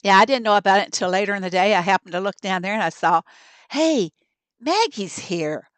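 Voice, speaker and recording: Hoarse, chatty woman streamer. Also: medium pitch, expressive, fairly quick, dry recording. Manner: chatty, upbeat, laughs often, talks to chat. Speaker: game streamer. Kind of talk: casual livestream chat to viewers.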